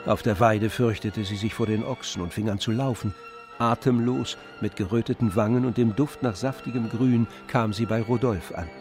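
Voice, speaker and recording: A man playing the composed, observant narrator of a literary radio drama, with measured delivery. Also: lowish voice, over music, close to an everyday voice.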